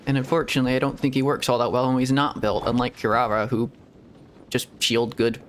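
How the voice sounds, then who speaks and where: A man's voice: mid-range voice, level tone, a little fast, a little hiss or hum. A man streaming a video game, chatting and rambling casually and laughing often. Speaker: VTuber streamer. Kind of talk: casual gameplay stream commentary. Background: faint game music.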